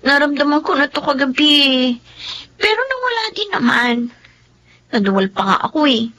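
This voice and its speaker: A high, plaintive Filipino little sister. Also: female voice, big pitch swings, normal speed, sharp tone, exaggerated voice. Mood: pained, plaintive. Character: Eileen, ailing, considerate younger sister. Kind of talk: Filipino radio drama acting.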